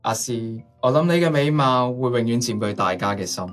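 A woman's voice: very deep voice, a little fast, far from the mic, soft background music. A woman acting a suave, theatrical young older brother in a radio drama, with a Hong Kong Cantonese accent. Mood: suave, flattering.

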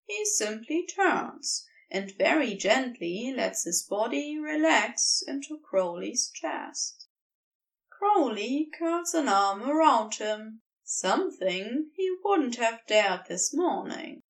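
A woman reading a tender romantic story as scripted narration, in a gentle, literary manner. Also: on the high side, sing-song, slow pace, far from the mic, low-quality recording. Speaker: fan fiction audiobook reader.

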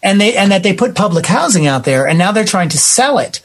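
A man with a husky voice talking conversationally as a theater maker being interviewed, emphatic and given to acting out what people said. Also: high voice, sing-song, a little fast. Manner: emphatic, acts out quoted reactions. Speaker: theater playwright and director, interview guest. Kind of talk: animated conversational storytelling.

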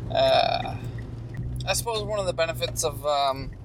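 A man bantering casually with a friend in a moving car, joking and rambling with lots of fillers. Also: a little high. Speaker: co-host. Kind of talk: casual chat. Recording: noisy car with road noise.

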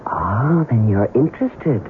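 An American actress playing a scheming, seductive wife in a radio drama, coaxing and insistent. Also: female voice, a bass voice, animated, a little slow. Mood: seductive, persuasive. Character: scheming, seductive wife coaxing her lover toward murder. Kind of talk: 1970s radio drama acting. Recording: tinny, faintly hissy old radio broadcast.

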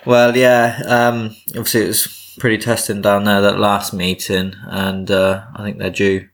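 A man's voice: fairly low pitch, flat delivery, measured pace, a bit bright. A man talking as a guest in a casual chat, easygoing.

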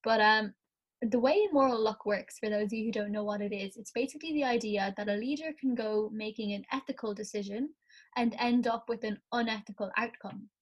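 A young Irish woman, a student, talking through ideas in a casual class discussion, her voice a little croaky from the morning. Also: a little high, steady tone, brisk. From Ireland. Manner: chatty, thinks aloud, frequent "like".

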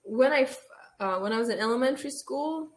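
A woman's voice: high voice, expressive, a little fast. Young woman guest, easygoing chat, laughs a lot, hesitant starts. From Los Angeles, USA. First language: Armenian. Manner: chatty, laughs often, hesitant restarts. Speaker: podcast guest, beauty content creator. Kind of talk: casual chat.

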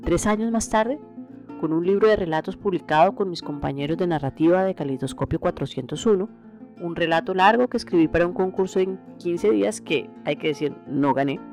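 A woman's voice: lowish voice, darkish tone. A middle-aged woman with a Colombian accent hosting a podcast alone, reading a scripted personal narration. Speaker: podcast host.

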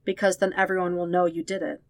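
Woman reading as a low, guarded teenage prince. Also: little pitch movement, fast, no room sound, natural voice. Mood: guarded, cold. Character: weary, guarded teenage prince, a protective older brother. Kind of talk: fan fiction audiobook reading.

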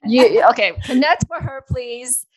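A woman's voice: high-pitched, a little slow. A woman hosting a casual interview, effusive in manner, with non-native English phrasing. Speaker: interviewer, host.

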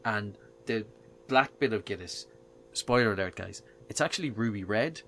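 A man's voice: fairly low pitch, moderate pace. A young man with an Irish accent from Monaghan, chatting and joking as a podcast co-host. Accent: Irish, from County Monaghan. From Ireland. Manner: joking, lively storyteller. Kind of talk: casual chat.

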